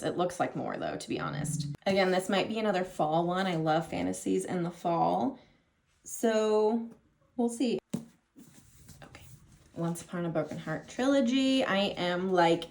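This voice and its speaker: Female book vlogger, mid-range voice, informal and rambling, chatting to viewers over faint background noise. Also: medium pitch. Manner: informal, rambling, self-interrupting. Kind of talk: casual chatty vlog to camera.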